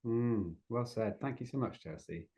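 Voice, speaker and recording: A man with a mid-pitched voice leads an online community discussion. His manner is encouraging and appreciative as he invites people to take part. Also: moderate pace, mellow. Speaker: host of an online community gathering. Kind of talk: facilitating an online group discussion. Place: online video call.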